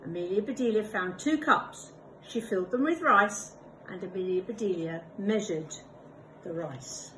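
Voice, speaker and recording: A woman, a primary school teacher, reading a picture book aloud with expressive storytelling and little asides. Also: mid-range voice, measured pace, background noise.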